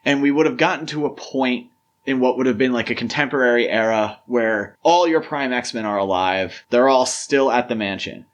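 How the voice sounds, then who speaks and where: A man's voice: medium pitch, normal speed, muffled recording. Male podcast co-host, casual and opinionated, talking films conversationally. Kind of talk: casual film discussion.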